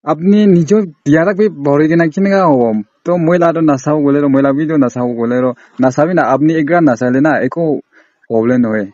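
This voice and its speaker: A man's voice: fairly high pitch, brisk, a little mellow, no room sound. A man on a casual, confrontational phone call, scolding and accusing insistently. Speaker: prank caller.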